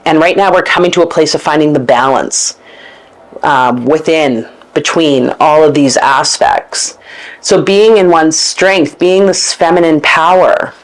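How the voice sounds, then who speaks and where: Low-voiced woman musing on mysticism. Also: unhurried. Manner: meandering, searching, with fillers and self-corrections; reads passages aloud from a book. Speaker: esoteric channel host. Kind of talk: reflective spoken monologue.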